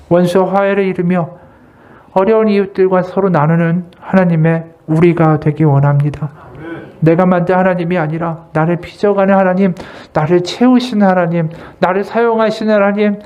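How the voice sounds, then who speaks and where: High-voiced man preaching earnestly. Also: darkish tone, highs cut off. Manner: earnest, exhorting, measured phrasing in short pieces. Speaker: associate pastor preaching. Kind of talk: Sunday sermon with read-aloud testimony and prayer.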